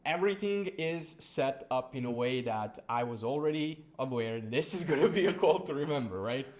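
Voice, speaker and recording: A man's voice: medium pitch, moderate pace. A young man from Serbia with a Serbian accent, telling sales stories in a casual business chat, peppering his talk with "bro" and "like". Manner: animated storytelling, says "bro" and "like" a lot. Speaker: sales agency co-founder.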